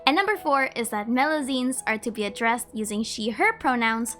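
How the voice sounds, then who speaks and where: A woman's voice: a little high, lively intonation, normal speed, a bit bright. A woman hosting a video, narrating scripted trivia with playful, chatty asides. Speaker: host of a game trivia video.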